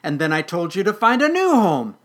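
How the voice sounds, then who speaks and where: A storyteller voicing a tired, grumpy furry male creature with a soft heart, grumbling and muttering in exasperation. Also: medium pitch, animated, measured pace, breathy edge. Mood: angry, flustered.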